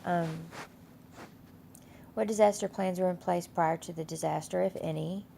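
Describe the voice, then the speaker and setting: A woman's voice: lowish voice, fairly even intonation, moderate pace, mellow, slight background noise. A woman instructor with a cold and a stuffy nose walks through a course week in a casual, rambling way, full of fillers and restarts. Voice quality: gravelly. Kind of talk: casual screen-share course walkthrough.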